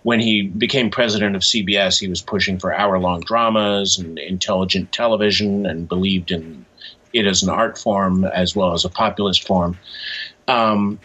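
A man's voice: low-pitched, steady tone, normal speed, fairly bright tone, breathy edge, dull audio. A man speaking as an interview guest, reflective and hesitant, often restarting his phrases.

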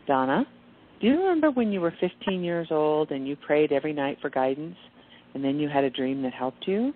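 A woman playing a gentle, knowing, reassuring angel in an amateur radio play. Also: sits low, lively intonation, a little fast. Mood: calm, probing. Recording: dull muffled audio with clear background noise.

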